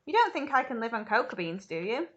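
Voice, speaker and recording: A woman doing a calm eccentric factory owner. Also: a little high, quick pace, muffled recording. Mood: offhand. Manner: calm, offhand.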